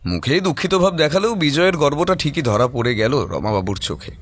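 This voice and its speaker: A man's voice: fairly high pitch, animated, fairly quick, a little hiss or hum. A man narrating a scripted horror audio story in a storytelling style.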